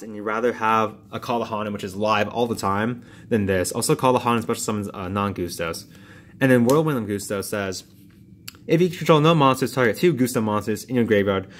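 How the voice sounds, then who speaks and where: Casual male vlogger, rambling and filler-heavy, slightly strained voice. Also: lowish voice. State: voice strained from long talking. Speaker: card game YouTuber. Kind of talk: casual card review vlog.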